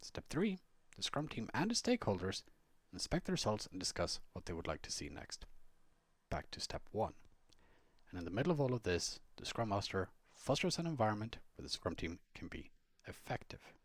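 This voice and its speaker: Man, lowish husky voice, animated intonation, scripted explainer narration, conversational asides, dry recording. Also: normal speed, dry recording. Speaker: blogger and Scrum trainer reading own blog post.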